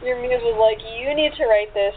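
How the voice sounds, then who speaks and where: A woman's voice: a little high, a little fast. Woman guest on a hissy phone line. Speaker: interview guest, writer and actress. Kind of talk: casual chat. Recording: thin phone line with hiss.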